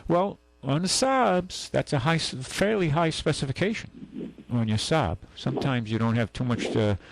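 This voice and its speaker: A man's voice: mid-range voice, animated, moderate pace, no echo, poor audio. A man hosting a call-in radio show, giving folksy, rambling car advice and correcting himself as he goes.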